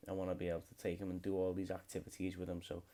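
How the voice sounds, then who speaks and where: A man's voice: low voice, monotone, rapid-fire, mellow. A man in his late twenties, hosting a podcast alone in a rambling, swear-heavy casual chat. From United Kingdom. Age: late 20s. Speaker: podcast host.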